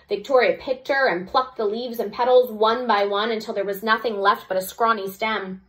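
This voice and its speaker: A woman's voice: medium pitch, rough recording. A woman, a second-grade teacher, reading a storybook aloud expressively and adding chatty explanatory asides for children.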